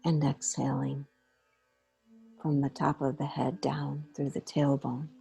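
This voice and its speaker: Slow, low-voiced woman guiding a meditation. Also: little pitch movement, creaky. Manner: unhurried, gentle, pausing between short phrases. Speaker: guided meditation leader. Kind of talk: guided meditation, slow soothing instruction.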